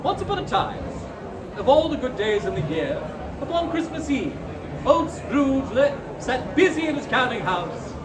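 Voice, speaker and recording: A man's voice: very high voice, very animated, normal speed. A man giving a theatrical live reading of a classic story, savouring each phrase. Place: live performance at a busy indoor fair. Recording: echoey crowded fair hall, distant noisy mic. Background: crowd noise.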